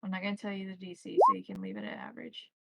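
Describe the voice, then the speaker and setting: Woman game master with a creaky voice. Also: medium pitch, very animated, rapid-fire, fairly bright tone, no room sound. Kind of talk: narrating a tabletop roleplaying game.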